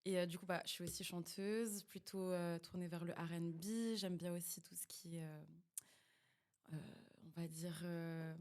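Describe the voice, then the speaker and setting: A woman's voice: on the low side, fairly even intonation, moderate pace, darkish tone. A woman who is an R&B singer and podcast guest, speaking in a casual, slangy, hesitant way.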